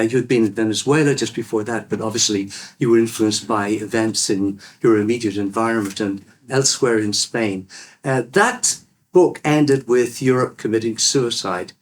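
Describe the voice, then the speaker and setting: A man serves as interviewer at a university event. He lays out his questions at length, in a measured and formal way. His pitch stays at an ordinary middle level. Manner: measured, long multi-part questions. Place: lecture room at a university event.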